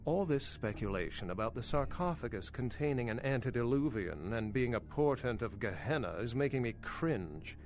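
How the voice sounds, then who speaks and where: A man's voice: medium pitch, expressive, moderate pace, hardly any pauses, slight background noise, lightly acted. A man playing an erudite, skeptical vampire archaeologist in a video game, speaking in an articulate, sardonic and pedantic way. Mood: scornful. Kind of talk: video game dialogue acting.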